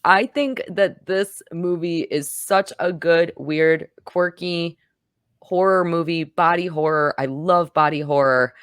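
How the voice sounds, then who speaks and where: A woman's voice: fairly low pitch, steady tone, slow, smooth, no echo, studio quality. A woman hosting a film review show, talking casually and with enthusiasm.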